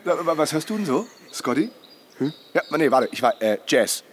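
A man's voice: fairly high pitch, sing-song, moderate pace, a little hiss or hum. An actor playing a jovial, chattering camper who goads his companion in a naturalistic radio drama, with a teasing manner. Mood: boisterous, needling. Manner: teasing, chatty, punctuated by chuckles and tag questions.

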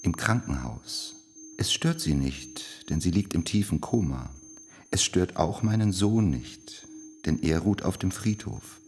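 An actor playing a grieving, restrained father, reading out his letter in a grave, measured way for a radio play. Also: low-pitched, lively intonation, darkish tone, a little hiss or hum. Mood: restrained grief.

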